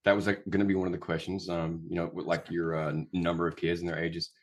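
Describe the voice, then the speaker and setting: A man's voice: low-pitched, flat delivery, fast talker. A young man hosting a podcast in a casual, joking way, rambling with fillers. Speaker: podcast host.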